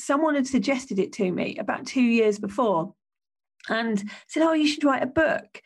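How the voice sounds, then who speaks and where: A woman hosting her own business podcast, with a slightly croaky voice and a chatty, self-correcting way of talking. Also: fairly high pitch. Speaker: podcast host.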